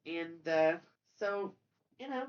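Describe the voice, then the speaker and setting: Low-voiced woman podcast host, halting delivery. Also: lively intonation, lo-fi sound. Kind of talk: casual film discussion.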